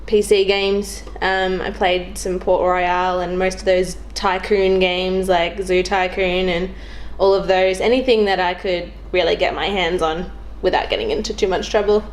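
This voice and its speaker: A woman's voice: fairly low pitch, level tone, moderate pace, slight background noise, rough recording. A young woman chats casually as a podcast guest, thinking aloud in an informal, hesitant way. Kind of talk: casual chat.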